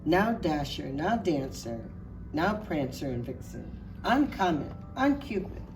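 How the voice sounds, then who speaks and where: Woman acting as Santa's wife, low voice, expressive unhurried storybook reading, distant mic, music behind. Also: full character voice. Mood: excited. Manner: unhurried, expressive, addressing listeners directly. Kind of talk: storybook reading aloud to children.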